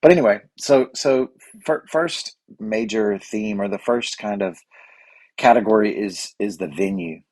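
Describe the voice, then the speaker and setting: A middle-aged man, slightly hoarse and raspy, hosting a rambling solo podcast with lots of fillers and restarts. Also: on the low side, measured pace, a little mellow, dry recording, poor audio. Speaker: podcast host. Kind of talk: casual solo podcast monologue.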